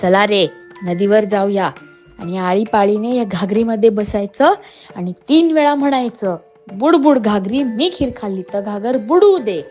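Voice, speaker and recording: A woman's voice: mid-range voice, animated, a little slow, darkish tone, dull audio, faint music behind, lightly acted. A woman voicing a bossy big-brother monkey who leads his friends in a children's story. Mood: commanding. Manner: bossy, commanding.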